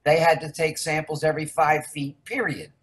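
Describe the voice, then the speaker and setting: A woman's voice: low-pitched, little pitch movement, normal speed. An older woman from the United States, a researcher guest in a casual interview, rambling candidly through her story.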